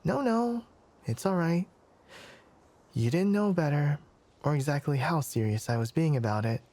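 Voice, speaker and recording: A man playing a flustered, formal young fox-eared forest scholar in an intimate ASMR anime roleplay, hesitant and stammering, often slipping into a breathy whisper. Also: a little high, lively intonation, moderate pace, a little mellow. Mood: flustered, protesting.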